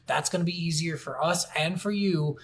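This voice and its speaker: Blunt, sweary man with a higher-pitched voice. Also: normal speed, a bit bright. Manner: heavy casual swearing; blunt, opinionated ranting. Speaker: podcast co-host. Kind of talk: casual video game review chat.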